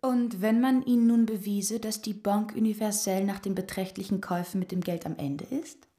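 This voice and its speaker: A woman's voice: mid-range voice, steady tone, brisk, mellow, lightly acted. A woman in a radio drama playing a scheming baroness who speaks in a pointed, persuasive manner. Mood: insistent, scheming. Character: scheming baroness bargaining with secrets for advice. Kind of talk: German radio drama acting.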